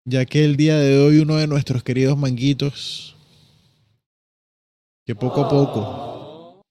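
A man co-hosting a casual podcast, teasing and telling stories in a relaxed way. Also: medium pitch, no echo. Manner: relaxed, teasing, drawn-out storytelling. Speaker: podcast co-host. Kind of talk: casual chat.

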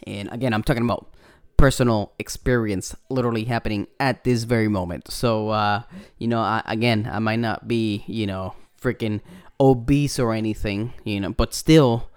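A man's voice: on the low side, fairly even intonation, moderate pace, clean tone. A young man with a Puerto Rican accent hosting a casual podcast, rambling and profane, with many fillers. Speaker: podcast host.

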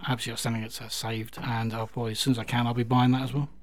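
A man's voice: medium pitch, flat delivery, rapid-fire, no room sound. A man casually presenting a music radio show in a chatty, rambling and somewhat hesitant way.

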